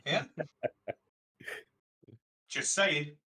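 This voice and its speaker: A man's voice: fairly high pitch, very slow, bright, forceful tone. A man bantering bluntly and casually as a player in a tabletop roleplaying game.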